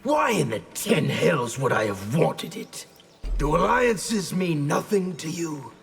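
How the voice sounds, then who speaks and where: Bitter military officer, threatening, raised pitch, gravel edge, video game. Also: male voice, very animated, takes it slowly, full character voice. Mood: bitter hostility. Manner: threatening, accusing delivery. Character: hostile, bitter military second-in-command, hot-tempered. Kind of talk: video game fighter acting. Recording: game dialogue with music behind.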